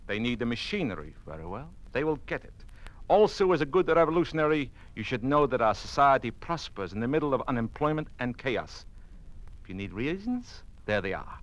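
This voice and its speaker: An actor playing a cold, humorless Soviet marshal in an old-time radio drama, giving orders in an imperious, formal, clipped manner. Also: male voice, medium pitch, sing-song, brisk, highs cut off, close to an everyday voice. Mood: icy menace.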